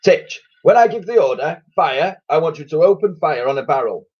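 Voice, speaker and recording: Guy putting on a bossy old admiral, booming, husky, swoops all over, kinda dull recording. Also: expressive, moderate pace. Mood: blustering. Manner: booming, bossy, thunders orders. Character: blustering old male navy admiral, pompous.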